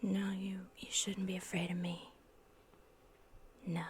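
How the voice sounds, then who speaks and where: A woman playing a paranoid, unhinged asylum patient in a horror ASMR roleplay, secretive and halting, obsessively repeating her words. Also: medium pitch, level tone, slight background noise, lightly acted. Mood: secretive, eerie.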